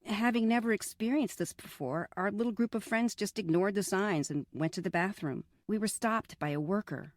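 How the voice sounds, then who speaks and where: A woman narrating a scripted first-person memoir in a measured, reflective way. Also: mid-range voice.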